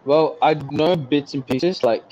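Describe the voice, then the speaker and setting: A young man with an Australian accent, a guest chatting casually on a video call, relaxed and rambling. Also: on the high side, measured pace, a little hiss or hum. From Singapore. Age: young adult. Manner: relaxed, rambling with many likes and you-know-what-I-means. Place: small bright room indoors. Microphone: headset. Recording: dull lo-fi video call audio.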